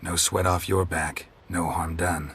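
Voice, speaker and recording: An actor playing a terse, deadpan wandering swordsman in a fantasy video game. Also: sits low, measured pace, slight background noise. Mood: dry, calm. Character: gruff, laconic male wandering swordsman, dryly menacing. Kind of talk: video game dialogue acting.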